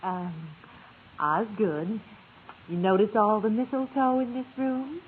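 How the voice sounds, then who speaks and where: A woman's voice: mid-range voice, steady tone, slow, close to an everyday voice. An actress playing a middle-aged wife and mother in a vintage radio sitcom, fondly chiding and coaxing. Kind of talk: 1950s radio sitcom acting. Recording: tinny, hissy old radio recording.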